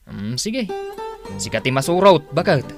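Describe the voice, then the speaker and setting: An actor playing an easygoing, indulgent, drily amused husband in an Ilocano radio drama. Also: male voice, a little high, big pitch swings, a little slow, music in the background.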